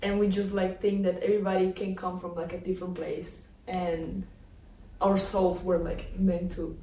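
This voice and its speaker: A woman's voice: lowish voice, little pitch movement, normal speed, dark tone. A Colombian woman in her twenties, co-hosting a casual podcast chat, laughing often and filling her talk with "like". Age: 20s. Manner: giggly, lots of "like" fillers. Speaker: podcast co-host. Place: indoor room in the Netherlands. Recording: echoey room, mic at a distance.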